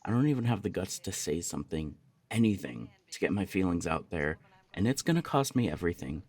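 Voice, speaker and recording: A man playing a jealous, lovesick young punk roommate in an ASMR roleplay audio drama, speaking in a halting, confessional way with swearing. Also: low voice, very animated, darkish tone, slightly rough, exaggerated voice. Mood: agitated, defensive.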